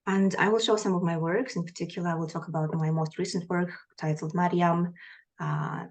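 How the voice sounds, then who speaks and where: A woman from Kazakhstan whose first language is Kazakh speaks in a slightly low voice over a video call. She gives an artist's conference talk, hesitant, with frequent fillers and restarts. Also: darkish tone. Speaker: artist presenting research. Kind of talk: conference presentation.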